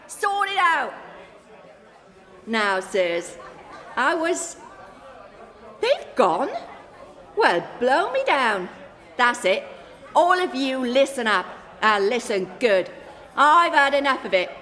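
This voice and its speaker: A feisty Cockney landlady in a comic radio play. Her voice is high, forceful and brash, full of slangy threats. It rings in a reverberant hall with a crowd behind her. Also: sing-song, slow, pauses often, exaggerated voice. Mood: exasperated, bossy. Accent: London. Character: feisty, foul-tempered cockney pub landlady.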